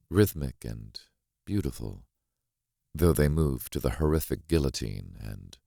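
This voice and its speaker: A man narrating a thriller novel as a grave, watchful witness, measured and deliberate in his delivery. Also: extremely low pitch, creaky, darkish tone, no echo, studio quality, full character voice. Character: grave, watchful man recounting a horrific stage act. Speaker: audiobook narrator.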